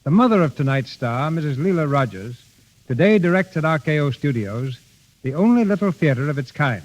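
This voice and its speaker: A man's voice: fairly high pitch, animated, a little fast, poor audio. A man hosting a radio show with formal, scripted 1930s announcing, on a vintage broadcast recording.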